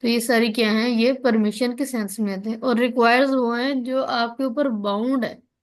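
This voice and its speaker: A woman's voice: on the high side, steady tone, moderate pace. A woman with a Pakistani accent giving an explanatory lesson as a lecturer, often checking understanding and answering her own questions. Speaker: law lecturer. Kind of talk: explanatory online lesson, reading out and explaining legal text.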